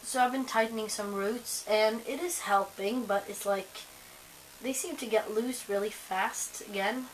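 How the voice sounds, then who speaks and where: A young woman with a hoarse voice from a cold, chatting casually to camera at home, rambling with lots of ums and likes. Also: mid-range voice, fairly even intonation, a little slow, a little hiss or hum, low-quality recording. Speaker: vlogger.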